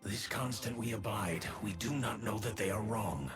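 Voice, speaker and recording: An actor playing a vengeful, grim male demon archer in game voice lines, with a low, slow and menacing delivery. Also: natural voice. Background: game music.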